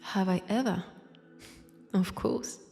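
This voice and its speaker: A woman with a French accent voicing an ancient, teasing vampire who poses as a nun, in a hushed, intimate roleplay drama. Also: medium pitch, creaky, faint music behind. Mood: confiding, seductive. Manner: slow, teasing, hushed, dropping into whispers. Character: ancient, powerful female vampire posing as nun, teasing, sardonic. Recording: long church-like reverb.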